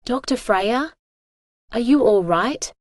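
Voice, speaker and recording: Calm, strong man, dry matter-of-fact teasing, voiced by a woman, audiobook dialogue. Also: a little high, slow pace, no echo.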